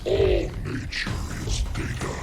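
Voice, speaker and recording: An actor playing an ancient, solemn male monster in a video game, with a gravelly, booming voice and slow, portentous delivery. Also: steady tone, heavily acted. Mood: solemn, menacing. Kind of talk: dramatic video game cutscene acting. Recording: echoing distant voice under loud music. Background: loud cinematic music.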